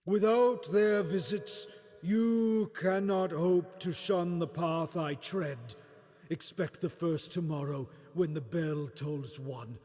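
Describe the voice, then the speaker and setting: A man voicing a solemn male ghost in a storybook radio play, proclaiming warnings slowly and portentously. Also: mellow, long reverb tail. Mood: ominous warning. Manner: slow, booming, portentous proclamation.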